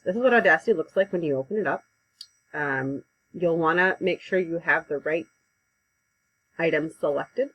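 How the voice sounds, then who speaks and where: A woman giving a casual, conversational software tutorial over a headset mic, with frequent ums. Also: sits low, normal speed, pauses often, muffled recording. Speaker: instructor. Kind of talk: screen-recorded software tutorial.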